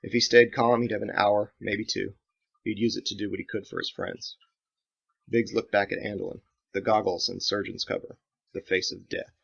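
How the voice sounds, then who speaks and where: A man's voice: fairly low pitch, flat delivery, moderate pace, gravelly, fairly bright tone. A man reading his own dramatic action novel as scripted audiobook narration, in a slightly dull recording.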